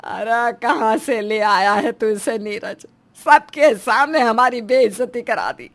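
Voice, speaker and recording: A woman plays a middle-aged cartoon mother-in-law with a fairly high voice and an unhurried delivery. She is proud and exasperated, scolding in a dramatic, long-suffering way. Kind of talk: Hindi moral story cartoon dialogue.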